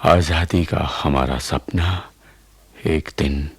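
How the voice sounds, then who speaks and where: An actor playing a condemned, stoic Indian revolutionary poet in a Hindi radio play, speaking gravely and with affection. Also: male voice, fairly even intonation, drawn-out words, no echo, exaggerated voice. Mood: grave, wistful. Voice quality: deep, husky.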